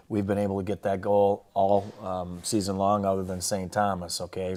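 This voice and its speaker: American guy, football coach going over game highlights, low voice, pretty flat, lots of you knows. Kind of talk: casual sports recap and highlight commentary.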